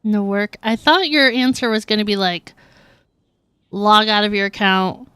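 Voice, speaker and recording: A woman's voice: medium pitch, measured pace, fairly bright tone, clean tone, no room sound. A woman livestreaming a game in a casual, playful, giggly way, chatting and reacting to the dialogue. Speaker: game streamer.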